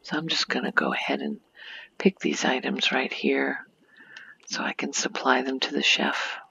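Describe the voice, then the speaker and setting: A woman softly narrating an ASMR video game tour in a breathy, near-whispered voice, explaining gently and without hurry. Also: very deep voice, very animated, normal speed, lo-fi sound.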